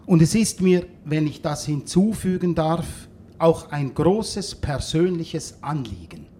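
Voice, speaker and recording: An actor playing a pompous food industry executive in a German radio drama, formal, measured and self-important. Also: male voice, on the high side, lively intonation, warm tone, slight background noise. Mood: solemn, self-important. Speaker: gala speaker.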